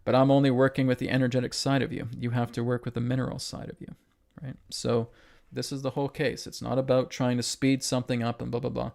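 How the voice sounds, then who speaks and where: A man's voice: medium pitch, gravelly, darkish tone. A man giving an emphatic spiritual lecture to an online audience, hammering points with repetition and asking "right?" after them. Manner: emphatic, repetitive, asks rhetorical questions and tags "right?". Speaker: spiritual channeler and host.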